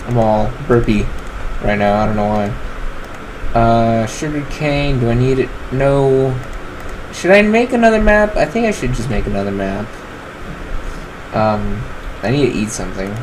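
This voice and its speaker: A man's voice: medium pitch, a little slow, background noise. A man of twenty chatting casually over his game, rambling with lots of fillers as he decides what to craft. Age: 20s. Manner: rambling, filler-heavy, relaxed. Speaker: gaming channel host. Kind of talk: casual gameplay commentary.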